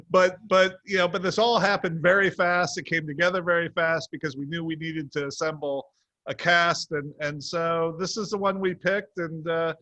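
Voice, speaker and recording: A man's voice: on the high side, sharp tone, no room sound. A man answering interview questions casually as a show's co-creator, rambling and hesitant, with self-deprecating asides. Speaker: TV series co-creator, interview guest.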